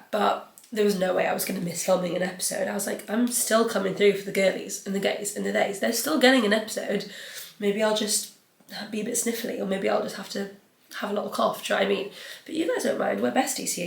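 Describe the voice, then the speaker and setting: A woman's voice: medium pitch, brisk, vocal fry, reverberant. A young woman hosting a podcast solo, chatty and rambling, sounding stuffy and sniffly from a cold. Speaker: podcast host. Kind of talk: casual solo podcast chat.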